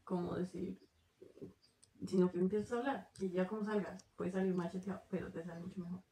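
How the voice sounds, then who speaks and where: A young woman with a Colombian Spanish accent, speaking calmly and briefly in a casual travel vlog. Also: lowish voice, brisk. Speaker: travel vlogger. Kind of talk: casual chat. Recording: echoey room with faint noise.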